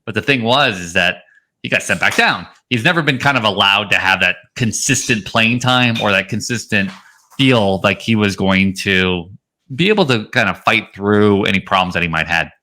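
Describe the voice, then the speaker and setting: Bright, forceful low-voiced man. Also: moderate pace, slight background noise. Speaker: podcast co-host. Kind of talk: fantasy baseball analysis, casual commentary.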